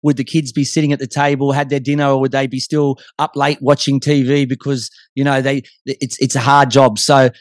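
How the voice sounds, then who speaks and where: A man's voice: medium pitch, flat delivery, a little fast, few pauses, no room sound, clean studio sound. A man hosting a casual sports chat, putting questions to his guest as interviewer. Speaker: interview host.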